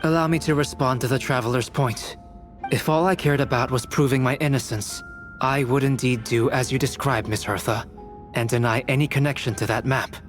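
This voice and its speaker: Man voicing young male game alchemist, calm, cerebral, measured, formal, soft music behind. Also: medium pitch, natural voice. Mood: composed. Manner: composed, measured, formally polite. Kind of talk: dramatic video game voice acting.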